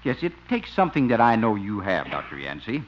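An actor playing a courteous, earnest county inspector with a formal manner, in a 1950s radio comedy. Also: male voice, fairly low pitch, wildly swooping pitch. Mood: sincere approval. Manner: formal, polite, measured. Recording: dull, faintly noisy old radio recording.

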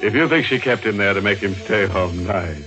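Actor as a deep, ghoulish radio horror host. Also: male voice, moderate pace, lightly acted. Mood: gleefully macabre. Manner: tongue-in-cheek, macabre relish. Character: ghoulish, gleefully sardonic male horror show host. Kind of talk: 1940s radio mystery narration. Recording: dull vintage radio recording, music.

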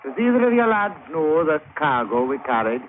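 An actor playing a scheming, commanding first mate who talks in a conspiratorial, insinuating way in a 1940s radio drama. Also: male voice, fairly high pitch, very animated, normal speed. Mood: sly, conspiratorial. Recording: tinny vintage radio with faint noise.

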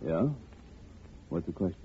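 A man's voice: measured pace, natural voice. An actor playing a slick, slangy male racketeer with a terse, insinuating manner in a 1950 radio drama. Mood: quietly menacing. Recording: tinny, noisy old radio recording.

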